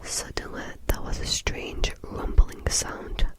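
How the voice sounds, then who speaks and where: A woman, reading a bedtime story in a soft ASMR whisper. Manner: soft, slow, lilting whisper.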